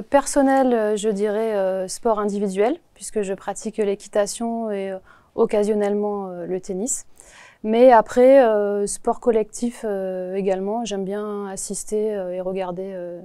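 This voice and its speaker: A woman in her forties from the Paris region answers interview questions. She hesitates often and fills the pauses. Her voice is mellow and warm, recorded close and dry. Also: mid-range voice, steady tone, clear, resonant tone, dry recording. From Paris region, France. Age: 40s. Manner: hesitant, many filler pauses. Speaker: postal worker, interview guest.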